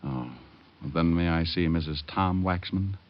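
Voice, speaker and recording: Man acting a deep-voiced old-time radio detective. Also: moderate pace, close to an everyday voice. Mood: gentle, concerned. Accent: American. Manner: casual, slangy, wry. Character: wisecracking tough private detective, adult man, tender with family. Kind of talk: 1940s radio drama acting with first-person narration. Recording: muffled, noisy vintage radio recording.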